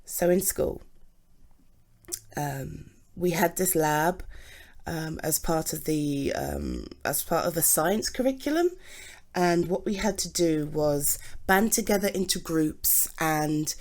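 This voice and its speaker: Slow-talking, expressive British girlfriend, acted by a woman. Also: low-pitched, heavily acted. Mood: gentle, confiding. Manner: gushing, affectionate, halting with sighs and repeats. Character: doting, excitable, supportive girlfriend comforting her partner. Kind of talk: ASMR girlfriend roleplay, intimate one-sided scene.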